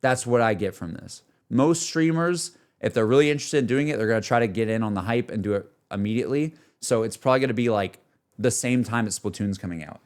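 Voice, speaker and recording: A male game streamer chats off the cuff in a middle-pitched voice. He rambles candidly, working through his thoughts aloud as he addresses his viewers in loose, everyday speech. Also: fast talker. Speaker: game streamer talking to chat. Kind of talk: casual livestream chat, unscripted.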